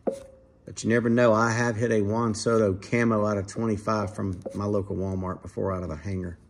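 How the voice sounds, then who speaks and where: A man casually commenting to viewers while opening trading card packs at a dining table at home, in a relaxed, chatty way. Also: lowish voice, fairly quick, noisy background. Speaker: card breaker, channel host.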